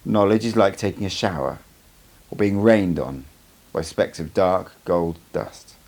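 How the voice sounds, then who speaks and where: A man's voice: sits low, expressive, a little slow, darkish tone. A man reading a first-person story aloud as scripted audiobook narration. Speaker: amateur audiobook reader.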